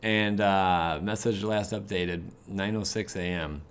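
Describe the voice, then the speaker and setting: A man's voice: deep voice, steady tone, slow pace, smooth. An American man hosting a gaming channel, chatting casually and rambling informally as he reacts to what he reads, with mildly exasperated asides. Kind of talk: casual livestream chat, reacting to web pages.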